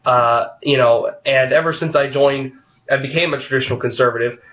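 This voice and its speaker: A man with a head cold and a slightly froggy voice, vlogging casually to camera in a halting, rambling way full of fillers. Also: mid-range voice, fairly even intonation, lo-fi sound. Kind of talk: casual opinion vlog talking to camera.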